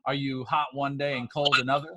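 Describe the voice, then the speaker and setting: A man's voice: mid-range voice, flat delivery, brisk, background noise. A man speaking as a business coach and livestream host, talking informally and directly to his followers in an emphatic, motivational way, often repeating phrases for emphasis. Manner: informal, addresses listeners directly.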